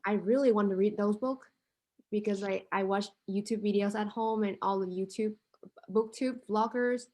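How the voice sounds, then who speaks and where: Woman, English, hesitant with many fillers, mid pitch, video call. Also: steady tone, moderate pace, dark tone. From Vietnam. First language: Vietnamese. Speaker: international student with a startup idea. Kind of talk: casual conversation.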